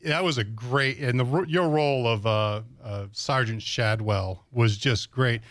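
A man co-hosting an interview, asking casual questions in a halting way as he searches for words. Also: mid-range voice, no echo, studio quality. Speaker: interviewer, co-host.

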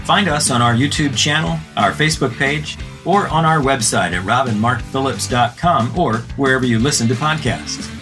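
A man reading a scripted podcast intro in a smooth promotional delivery. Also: mid-range voice, normal speed, hardly any pauses, pushed and bright. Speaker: show announcer. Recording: voice over guitar music. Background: plucked guitar music.

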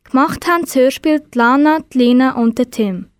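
A woman's voice: high-pitched, fairly quick, clean tone, no echo. A teenage girl with a Swiss accent, presenting in a scripted radio announcement style. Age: teens.

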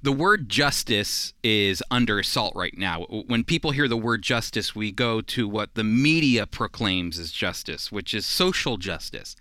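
Style: radio Bible teaching. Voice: bright, clear man. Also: on the low side, animated, measured pace, hardly any pauses, no echo.

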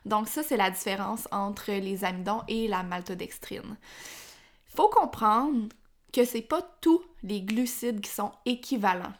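A woman's voice: mid-range voice, measured pace. Chatty Québécois woman podcast host. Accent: Québécois French. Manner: chatty, informal, addresses listener directly, frequent "euh" restarts. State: slightly congested, frog in throat. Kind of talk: solo podcast explainer.

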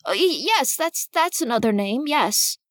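Woman doing a shaky lil bilby guy, squeaky high, slow and stuttery. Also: expressive, no room sound, studio quality. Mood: nervous. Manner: timid, stammering. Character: nervous, stammering little male bilby.

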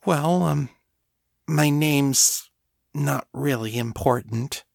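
A male narrator puts on a submissive young-sounding servant woman of dark fantasy fiction. The voice is pitched up, soft and hesitant. She pleads timidly, always anxious to please her mistress. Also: a little slow, gravelly, no room sound, studio quality, exaggerated voice. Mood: timid, uneasy. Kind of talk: audiobook character acting.

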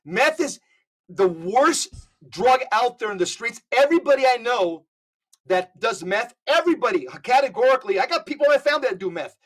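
A man speaking as a podcast guest, emphatic and animated as he tells his stories. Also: very high-pitched, brisk. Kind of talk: casual conversational podcast.

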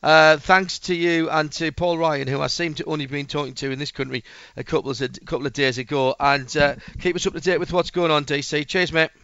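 A radio presenter, brisk and upbeat, with a fairly high, smooth and resonant voice. He runs a live interview. His sound is dry and a little dull. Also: steady tone, fairly bright tone, no room sound.

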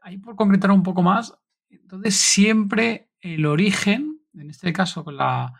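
A man's voice: sits high, a little slow, creaky, slightly rough. A man with an accent from Spain hosting a casual interview, asking rambling questions full of tag questions. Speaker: podcast host.

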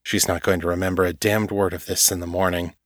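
A man playing a long-suffering, protective male character in an audiobook, with a flat, low-key, weary manner. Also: quick pace, no echo, studio quality, lightly acted.

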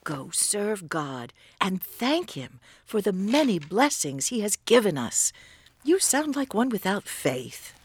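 An actress playing an aging, childless priest's wife in an audio Bible drama, husky and breathy, faithful, weary and gently scolding. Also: female voice, medium pitch, sing-song, slow, full character voice. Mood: weary, reproving.

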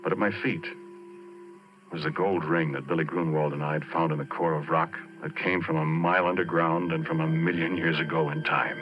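An actor playing a haunted, plain-spoken oil rig roughneck, telling his story haltingly and colloquially in 1940s radio drama style. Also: extremely low pitch, flat delivery, background noise. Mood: hushed dread. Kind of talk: 1940s radio drama acting, first-person narration. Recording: muffled vintage 1940s radio recording, organ-era music.

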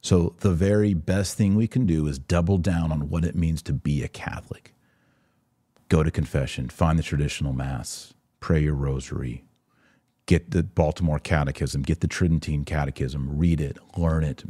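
A man's voice: very deep voice, fairly even intonation, moderate pace, warm tone, breathy edge, dry recording. A man hosting a religious commentary podcast, speaking in an emphatic, instructive yet conversational way. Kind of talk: live religious commentary, answering listener questions.

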